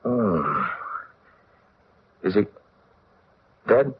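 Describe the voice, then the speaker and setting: Suspicious heir, low voice with lively swings, vintage radio drama acting. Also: male voice, very slow, heavily acted. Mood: startled. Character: suspicious, sullen grown son of wealthy household. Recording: muffled old radio recording, clear hiss.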